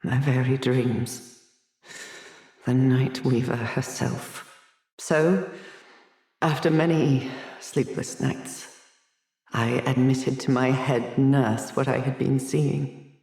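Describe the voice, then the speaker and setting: A mad, haughty lady doctor from a video game, shut away in an asylum. She speaks slowly and theatrically in learned phrases, swinging between whispers and shrill outbursts. The sound is roomy and echoing, set back from the microphone. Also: steady tone, vocal fry, darkish tone, far from the mic, full character voice. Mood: haunted, confiding. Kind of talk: dramatic video game character acting.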